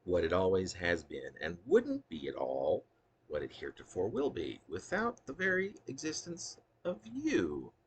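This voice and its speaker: Male poet, deep and creaky, earnest deliberate delivery of his own verse with offhand asides. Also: wildly swooping pitch, slight background noise. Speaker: poet reading own work. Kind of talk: spoken poetry reading with casual asides.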